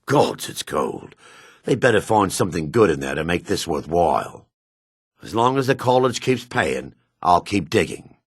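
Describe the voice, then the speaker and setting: An actor playing a male dark elf townsman in a fantasy video game, with a raspy voice, gruff and theatrical. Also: low voice, sing-song, normal speed, no room sound, clean studio sound, exaggerated voice. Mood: weary, grumbling. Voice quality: raspy, husky.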